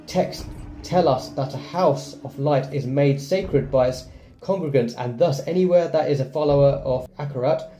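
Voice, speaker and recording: A man's voice: mid-range voice, dark tone. A man hosting a gaming video, reading game text aloud and adding casual commentary. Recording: echoey room sound. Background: faint game music.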